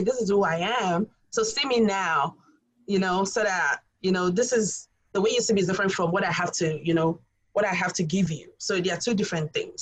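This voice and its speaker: A woman's voice: mid-range voice, poor audio. A woman from Nigeria with a Nigerian accent, a college English instructor telling stories earnestly in a podcast roundtable, with many fillers.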